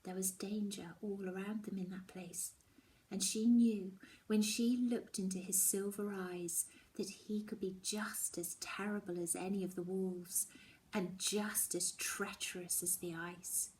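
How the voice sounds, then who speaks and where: A woman reading a children's novel aloud, expressive and dramatic, often dropping toward a hushed tone. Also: mid-range voice, unhurried, a little hiss or hum, rough recording. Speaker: story reader.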